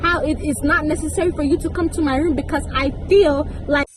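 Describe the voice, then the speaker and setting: A young woman telling a story casually, acting out conversations in an animated, indignant, emphatic way with slangy asides. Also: sits high, fairly quick, over loud music, rough recording. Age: young adult. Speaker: vlogger.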